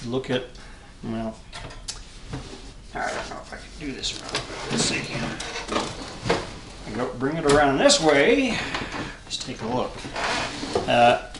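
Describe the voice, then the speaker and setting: Guy in his 70s just rambling thru a hands-on demo, kinda deep voice, stops and starts, roomy sound w/ noise. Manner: halting, rambling, thinking aloud. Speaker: hobbyist vlogger showing vintage electronics. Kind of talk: casual unscripted show-and-tell. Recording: echoey room with clear background noise and clicks.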